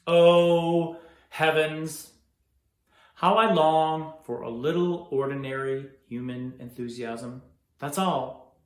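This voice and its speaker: A man performs a disillusioned, restless young man on stage. He sounds sardonic and theatrical, with clear room echo around the voice. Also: fairly high pitch, steady tone, takes it slowly. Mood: restless, yearning. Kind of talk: stage drama monologue. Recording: echoey room.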